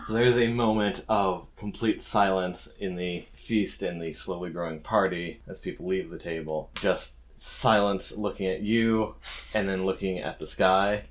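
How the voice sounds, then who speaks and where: A man's voice: deep voice, steady tone, measured pace. A man acting as game master, narrating a tabletop game in casual, conversational speech.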